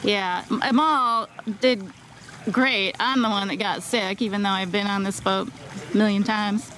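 A woman's voice: medium pitch, fairly even intonation, unhurried, fairly bright tone, rough recording. A woman hosting a sailing vlog, giving an upbeat, chatty tour and interviewing a crew member outdoors at a marina. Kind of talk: casual vlog narration and tour. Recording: outdoor noise in background.

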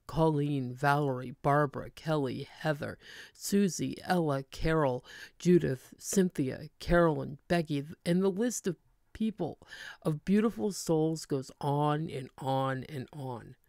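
A woman in her thirties, a cleaning channel host, speaking in a soft, earnest, sincere voice-over. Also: low voice, gravelly, no echo, clean studio sound. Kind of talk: heartfelt voice-over narration.

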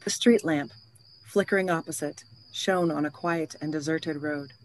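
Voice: mid-range voice, normal speed, a little hiss or hum, lightly acted. An actor playing a grave, suspenseful storyteller, narrating a horror tale for a radio play in a scripted dramatic style. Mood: urgent, tense.

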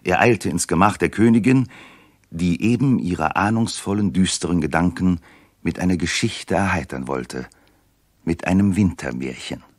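An actor as a composed storyteller, narrating a scripted 1960s German radio drama. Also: male voice, low voice, normal speed, no echo, studio quality.

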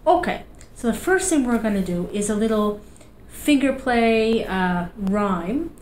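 A woman's voice: on the high side, animated, unhurried, a little mellow, reverberant, a little hiss or hum. Cheerful Newfoundland woman leading kids' storytime. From Canada. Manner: cheerful, sing-song, encouraging. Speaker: children's librarian. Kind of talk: children's storytime. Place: small indoor room, seated in an armchair. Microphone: fixed camera at a few feet.

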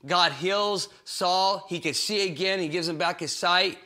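A man's voice: high voice, a little slow. A man in his forties teaching a Bible lesson for small groups, acting out the voices of figures in the story, in a cozy living room set. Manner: animated, acts out voices in the story. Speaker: pastor.

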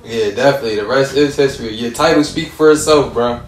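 A man's voice: mid-range voice, quick pace, slight background noise. A man hosting a casual interview over a video call, talking in an informal, slangy way. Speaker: interview show host. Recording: echoey video-call audio, faint music.

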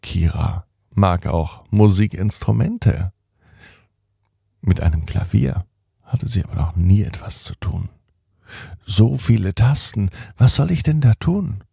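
A middle-aged man hosting a children's podcast, telling a bedtime story in a slow, soothing, gently animated way. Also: low-pitched, gravelly, slightly rough, no room sound, studio quality.

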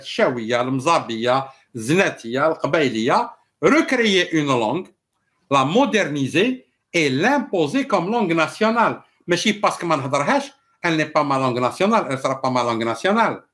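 A man with an Algerian accent and native Arabic holds forth in a live political discussion. His voice sits a little high and moves up and down a lot. He explains things emphatically and deliberately, mixing two languages. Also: big pitch swings, a bit bright. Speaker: political commentator and live show host. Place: small indoor home room.

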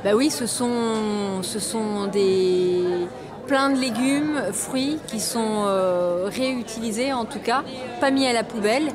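A woman's voice: a little high, slow pace. A woman in her thirties, an event organizer, explaining casually on camera with many hesitations. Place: crowded cinema lobby indoors. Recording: loud crowd and music behind.

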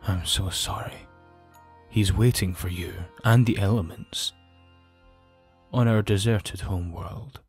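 A man's voice: low voice, slow, pauses often, slightly rough, dry recording, soft background music, full character voice. A man playing a grave, injured nobleman in an audio drama, speaking formally and solemnly. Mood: solemn, regretful.

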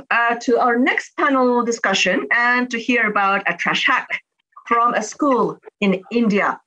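Bright-toned female webinar host, handing over between panels with some hesitation. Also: mid-range voice, low-quality recording. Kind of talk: webinar hosting.

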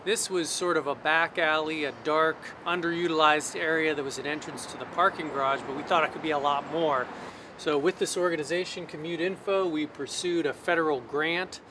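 A man's voice: fairly high pitch, steady tone, brisk, sharp tone, a little hiss or hum. A man who develops buildings, explaining a project fluently in an interview outdoors in a city alley.

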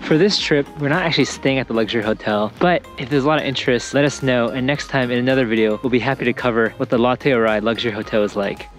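A man's voice: mid-range voice, fast, hardly any pauses. A young man narrating a casual travel vlog as he talks to an action camera. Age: young adult. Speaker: travel vlogger. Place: ski resort, partly outdoors on slopes, partly in a gondola. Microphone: action camera at arm's length. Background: music.

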